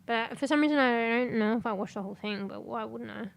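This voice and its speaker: A congested woman chatting casually on a livestream, rambling, swearing and breaking into sudden loud exclamations as she reads things aloud. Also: on the high side, quick pace, vocal fry. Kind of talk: casual stream chat, reading aloud.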